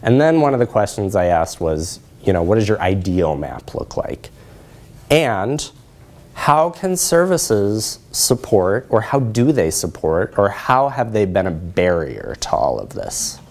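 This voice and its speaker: A man's voice: lowish voice, sing-song, unhurried, darkish tone. An American man in his thirties giving an explanatory research presentation in a lecture room, checking in with his listeners. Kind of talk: conference presentation with audience questions.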